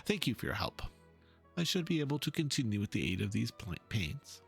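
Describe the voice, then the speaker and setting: A man's voice: mid-range voice, very animated, brisk, gravelly, soft background music. A man voicing an earnest, fretful painter from a video game, speaking politely in formal, wordy lines. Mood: earnest, grateful. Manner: formal, ponderous. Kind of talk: dramatic reading of game dialogue.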